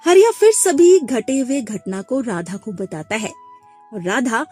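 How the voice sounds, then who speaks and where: A woman narrating a scripted moral tale as an expressive storyteller. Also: fairly high pitch, a little slow, husky, faint music behind.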